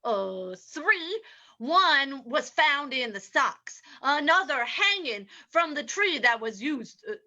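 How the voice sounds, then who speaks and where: A woman's voice: sits high, pushed and bright, full character voice. A woman playing a baffled, hesitant small-town sheriff in a radio drama reading. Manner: hesitant, halting. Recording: dull lo-fi sound.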